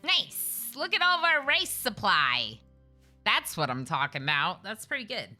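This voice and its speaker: A woman streaming a game live, chatty and excitable, talking to her characters as she plays at home. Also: mid-range voice, measured pace, soft background music. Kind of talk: live gameplay commentary.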